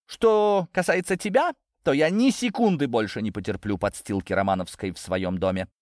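A man's voice: mid-range voice, moderate pace, a little mellow, no room sound, clean studio sound, pitched above the performer's own voice, natural voice. A man playing a stern older father and head of a family, curt, indignant and commanding, in an audiobook. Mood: condemning.

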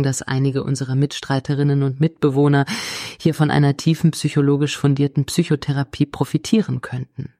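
Woman acting a mellow, low-key earnest psychologist. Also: gravelly, no room sound, clean studio sound. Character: earnest female psychologist, blissful commune convert, quietly worried. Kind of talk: mock-documentary radio play interview.

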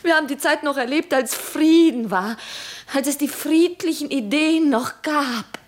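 A woman's voice: sits high, measured pace. An actress in a German radio play, playing an anguished, devoted woman in love who is broken by war, speaking in a lyrical, impassioned way. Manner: impassioned, lyrical, dropping into breathy whispers.

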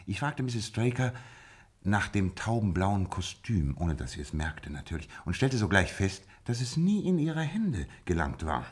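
An actor playing a shrewd, self-assured gentleman detective in a German radio play, explaining deliberately with teasing asides. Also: fairly low pitch, sing-song, husky, heavily acted. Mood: confident, dramatic.

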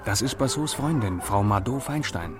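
An actor playing a dutiful police assistant who reports briskly and deferentially in an old radio drama. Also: male voice, on the low side, animated, music in the background, lightly acted. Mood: chatty. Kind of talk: 1960s German radio drama acting.

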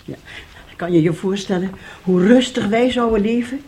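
A woman's voice: medium pitch, moderate pace, dark tone, slight background noise, lightly acted. An actress playing a fussy, scheming older mother in a radio play, theatrical and effusive, repeating herself. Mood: coaxing. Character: fussy, scheming older mother, gushing then bitterly nagging. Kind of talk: Dutch radio play acting.

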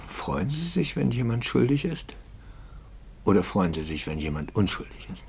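An actor playing a troubled, anxious priest in a 1950s German radio drama, brooding and articulate, moving between hushed and impassioned speech. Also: male voice, fairly low pitch, big pitch swings, normal speed, creaky, slight background noise. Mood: probing. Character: troubled, anxious priest, intense and probing, quietly bitter.

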